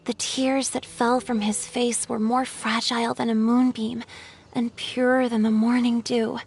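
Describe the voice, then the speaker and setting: A woman playing a gentle, lovelorn water spirit in a video game, speaking in earnest, poetic phrases. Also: a little high, little pitch movement, slightly rough. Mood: wistful reminiscing. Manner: earnest, poetic, measured storytelling. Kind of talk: scripted video game voice acting. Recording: music behind the voice.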